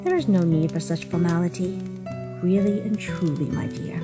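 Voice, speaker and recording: Woman as low-voiced, motherly monster queen. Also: natural voice. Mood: warm, motherly. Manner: formal, measured, polite diction. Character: gentle, motherly monster queen, formal and caring. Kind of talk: comic dub character acting. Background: music.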